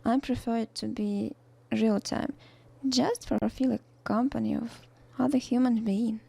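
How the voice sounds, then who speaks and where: A young woman, a native Russian speaker with a Russian accent, narrates a drawing tutorial in halting, soft-spoken English with many fillers and restarts. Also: fairly high pitch, steady tone, gravelly, husky.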